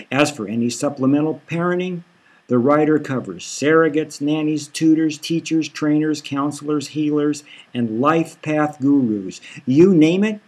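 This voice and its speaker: Slick male salesman for a satirical audiobook, acted by a male reader: husky, jargon-heavy, smoothly persuasive patter. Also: a little mellow, heavily acted. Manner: salesy, jargon-filled pitch.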